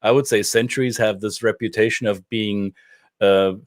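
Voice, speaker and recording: A man's voice: on the low side, steady tone, normal speed, no room sound. European man hosting, dry close sound. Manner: curious, leading questions. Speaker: podcast host. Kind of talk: interview conversation.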